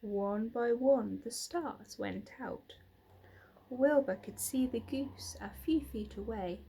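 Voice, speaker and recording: A woman's voice: on the high side, takes it slowly, vocal fry, warm tone, a little hiss or hum, low-quality recording. A woman narrating a children's book expressively, like a teacher reading aloud. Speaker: reader, teacher.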